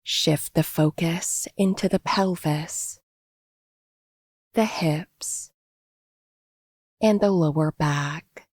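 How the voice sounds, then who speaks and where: Female meditation guide, slightly low and breathy-husky, gentle scripted delivery, recorded very dry. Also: no echo. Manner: gentle, unhurried, instructional. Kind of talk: guided body scan meditation, scripted narration.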